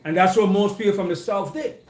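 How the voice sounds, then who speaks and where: A man's voice: high-pitched, fairly quick. Man, echoey room. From Caribbean. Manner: keeps checking in with "you understand?". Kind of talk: casual group discussion.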